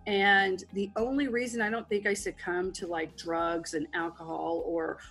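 A woman's voice: lowish voice, little pitch movement, moderate pace, fairly bright tone, husky, soft background music. A woman in her forties, a business guest speaking frankly and at length over a video call on a headset. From Texas. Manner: frank and rambling, with restarts.

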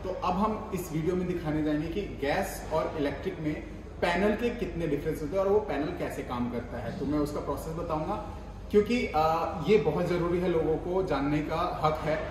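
Young man, higher voice, casual step-by-step explainer; echoey workshop, faint hum. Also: moderate pace. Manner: explains step by step with many repetitions and restarts. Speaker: bakery equipment seller. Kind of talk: casual product demonstration. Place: workshop with ovens, indoors. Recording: echoey room, faint noise.

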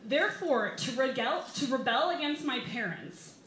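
A young American woman reads a funny personal essay in a live setting. Her voice is fairly high and bright, with deliberate, wry emphasis. The recording is roomy, with a little background noise. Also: expressive. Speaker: stand-up comedian reading an essay. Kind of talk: comic personal essay read aloud to a live audience. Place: small brick-walled bar, indoors. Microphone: stand microphone, camera recording.

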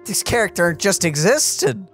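A woman's voice: mid-range voice, very animated, a little slow, soft background music. A woman co-hosting a let's play, commenting casually on the game in a playful, sarcastic way.

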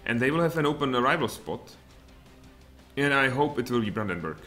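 A man in his thirties with a Czech accent, streaming a strategy game from home in lively, muttered commentary with sudden outbursts. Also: mid-range voice, fairly quick, faint music behind. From Czech Republic. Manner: animated, muttering asides, sudden exclamations. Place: home room, indoors.

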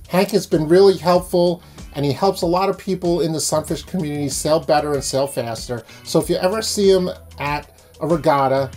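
A man's voice: on the high side, darkish tone. A man explaining things as a sailing channel host in a how-to vlog. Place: small indoor room.

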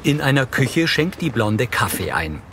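A man's voice: medium pitch, very animated, measured pace, vocal fry, a bit bright, a little hiss or hum. A man reading neutral, scripted audio description for a film. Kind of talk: neutral scripted narration, present tense.

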